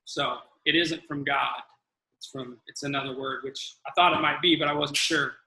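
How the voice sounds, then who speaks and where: A man's voice: fairly high pitch, steady tone, normal speed, vocal fry, pushed and bright, roomy sound, low-quality recording. A man hosting a live question-and-answer forum, talking in a casual, conversational way. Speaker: forum host.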